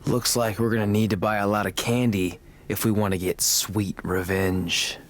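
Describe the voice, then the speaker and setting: A man playing a cocky rookie who improvises action-hero lines in a deadpan tough-guy way for a video game. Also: on the low side, moderate pace, lightly acted. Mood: mock-heroic. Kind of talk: video game cutscene acting.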